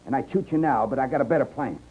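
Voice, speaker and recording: A man acts out a desperate, cornered frontier man in an old radio western. His talk is a rough rustic drawl at a middling pitch. The recording is dull, with faint noise behind him. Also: racing, natural voice. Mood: threatening. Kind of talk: 1950s radio western acting. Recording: noisy muffled 1950s radio recording.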